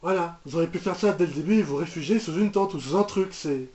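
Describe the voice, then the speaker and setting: A man's voice: on the high side, quick pace, dark tone. A man giving casual, rambling, mock-exasperated commentary as he reacts to a cartoon, often repeating himself. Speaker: reaction video host. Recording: roomy, dull lo-fi microphone sound.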